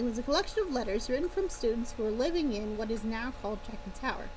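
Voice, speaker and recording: A woman reading a story aloud on a livestream, breaking off for playful, casual asides. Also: on the high side. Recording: clear background noise.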